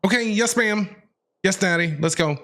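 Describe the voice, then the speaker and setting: A man's voice: high voice, moderate pace, bright, forceful tone. A man giving casual live playthrough commentary as a game streamer, informal and reactive, with jokey asides.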